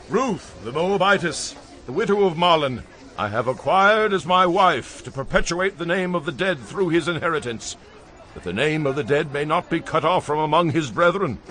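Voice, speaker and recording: An actor playing a kindly, upright landowner of ancient Israel, earnest and solemn, in a dramatized scripture reading. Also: male voice, a little high, sharp tone. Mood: proud, declaring.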